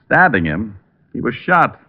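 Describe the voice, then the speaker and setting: An actor playing a flippant, self-assured private detective with a breezy, sardonic delivery in an old-time radio drama. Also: male voice, medium pitch, sing-song, a little slow. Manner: breezy, sardonic, dry wit. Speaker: lead actor and narrator. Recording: dull vintage radio recording with music.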